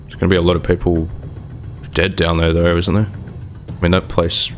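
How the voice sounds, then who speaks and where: A man's voice: low-pitched, level tone, fairly quick, soft background music, natural voice. A man improvising as a wary, questioning survivor in a zombie game roleplay. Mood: puzzled. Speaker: player in a roleplay skit.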